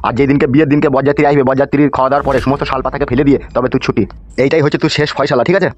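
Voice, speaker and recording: Man playing a rapid-fire bullying village boss. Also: medium pitch, flat delivery, no room sound, highs cut off, close to an everyday voice. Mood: bossy. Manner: threatening, foul-mouthed, domineering. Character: bullying village politician, angry middle-aged father. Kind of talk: amateur comedy cartoon dialogue. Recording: music behind the voice.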